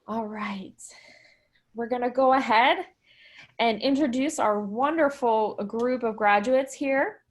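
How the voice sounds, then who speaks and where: A woman's voice: a little high, slow pace. A woman moderating an online alumni panel over video call, enthusiastic and encouraging as she introduces guests. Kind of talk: upbeat online panel hosting.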